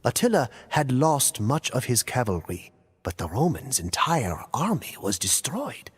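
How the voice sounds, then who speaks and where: A man playing a grave storyteller in a game cutscene, narrating ancient wars in a solemn, theatrical, deliberate way. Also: mid-range voice, very animated, slightly rough, no echo, full character voice. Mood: grave, dramatic. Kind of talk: dramatic scripted storytelling narration.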